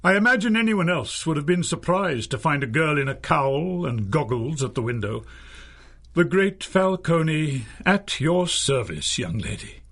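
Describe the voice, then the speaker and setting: A man's voice: a little high, very animated, a little slow, fairly bright tone, exaggerated voice. A man playing a courtly older stage magician in a 1930s-style radio adventure, pompous and florid, with theatrical flourishes. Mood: courtly, amused.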